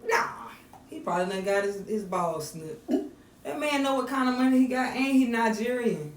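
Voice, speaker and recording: A man with a deep voice and a Southern accent, co-hosting a podcast in laid-back, joking banter. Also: animated, normal speed. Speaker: podcast co-host. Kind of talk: casual chat. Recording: distant mic in an echoey room with faint noise.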